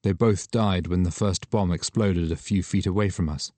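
A man voicing a subdued young woman, a retired model, who answers in a quiet, understated way. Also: low-pitched, normal speed, no room sound, lightly acted.

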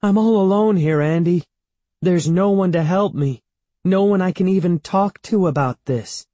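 A man's voice: on the low side, takes it slowly, darkish tone, breathy edge, dry recording. A man voicing an anxious, impatient young boy with a snappy, wailing manner in a children's storybook. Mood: wailing, desperate. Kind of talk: children's audiobook character voice.

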